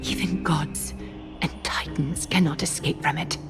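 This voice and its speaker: A composed woman of authority in a fantasy game. Her voice sits fairly low with a noticeable creak, and she speaks with formal, deliberate gravity over music. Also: fairly even intonation. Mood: calm, grave. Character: composed, solemn woman leader, cryptic and authoritative. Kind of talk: dramatic fantasy game dialogue. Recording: background music behind the voice.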